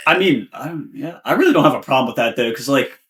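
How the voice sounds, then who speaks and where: A man's voice: mid-range voice, lively intonation, fast talker, fairly bright tone. A young American man co-hosting a podcast, chatting casually and chiming in agreeably with supportive comments. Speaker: podcast co-host. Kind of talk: casual chat.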